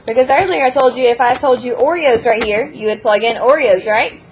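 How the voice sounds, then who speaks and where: A woman teaching math to a classroom, brisk and teasing as she walks students through problems. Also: fairly high pitch. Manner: brisk, teasing, talks over a noisy class. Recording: noisy classroom chatter. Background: students chattering.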